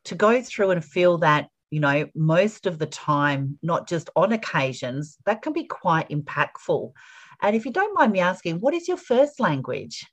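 A woman's voice: on the low side, big pitch swings, measured pace. A woman hosting an interview podcast, effusive and emphatic in her praise of the guest. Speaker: podcast host.

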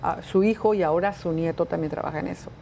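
Low-voiced Mexican woman thinking aloud. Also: gravelly, warm tone, a little hiss or hum. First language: Spanish. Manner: thinks aloud with pauses and fillers. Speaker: guest, tour company owner. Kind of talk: casual interview answers.

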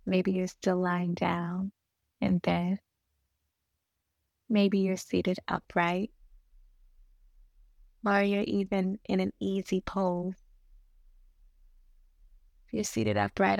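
A woman guiding a meditation in a soothing, gentle manner, giving unhurried instructions with long pauses between short phrases. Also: medium pitch, vocal fry, no echo, studio quality. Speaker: meditation guide. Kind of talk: guided meditation narration.

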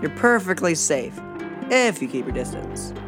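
An earnest, unbothered schoolboy who loves science, played by a woman with a low-pitched voice. He explains things calmly in a children's fantasy audio drama, over music. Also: normal speed. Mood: calmly explanatory. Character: bookish, calm, science-loving school-age boy.

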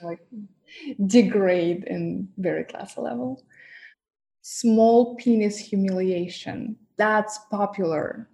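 A woman with a Russian accent speaks bluntly about her line of work, laughing easily between points. Her voice is mellow and warm, sitting at an average pitch. Russian is her first language, and she speaks English with its color. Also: takes it slowly, vocal fry, rough recording. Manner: frank, laughs often, mock-degrading asides. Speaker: guest, professional dominatrix. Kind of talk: candid interview chat.

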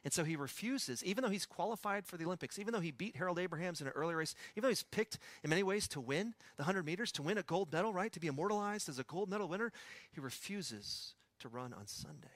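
A pastor with a West Texas accent preaching a sermon in a conversational storytelling way, with personal asides. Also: male voice, high voice, animated, quick pace, a bit bright, no room sound.

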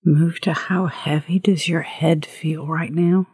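A woman leading a guided meditation as host, with a hushed, breathy voice and gentle, unhurried instructions. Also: deep voice, steady tone, no echo, clean studio sound. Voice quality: breathy, husky, often near whisper.

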